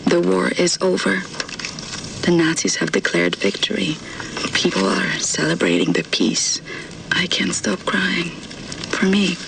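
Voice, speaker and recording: An actress playing a grieving, vengeful young woman, reading her war diary slowly in a hushed, sombre game narration. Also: fairly low pitch, creaky. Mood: grieving, bitter. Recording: distant, noisy game audio.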